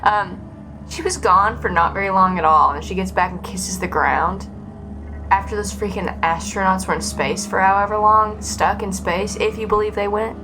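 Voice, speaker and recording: Female game streamer, medium pitch, casual rambling chat, sarcastic, sweary, griping, prominent music in background. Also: normal speed, low-quality recording. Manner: sarcastic, profane, animated griping.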